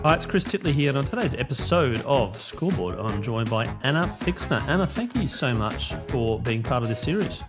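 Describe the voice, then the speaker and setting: A man's voice: medium pitch, big pitch swings, fairly quick, few pauses, music in the background. A man hosting an interview podcast, asking loose, friendly questions with fillers. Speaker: podcast host.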